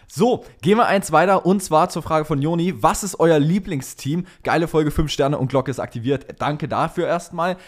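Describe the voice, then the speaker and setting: A man's voice: fairly high pitch, moderate pace, hardly any pauses. A young man co-hosting a podcast in casual chat, using slangy talk peppered with English words. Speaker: podcast co-host.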